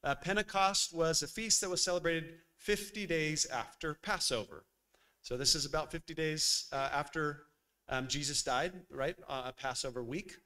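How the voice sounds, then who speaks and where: A man preaches from a church pulpit, talking to the congregation in a relaxed, conversational way. His voice is bright and forceful and sits a little high for a man. He speaks into a boom mic on a stand. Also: normal speed. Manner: lively and conversational, with frequent uh fillers. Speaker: pastor. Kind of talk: sermon.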